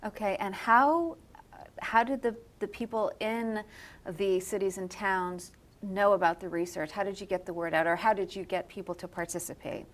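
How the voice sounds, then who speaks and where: A woman's voice: mid-range voice, moderate pace. A woman hosting an interview, asking questions conversationally and giving brief, attentive acknowledgements. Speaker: interviewer, host. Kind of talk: studio-style interview questions.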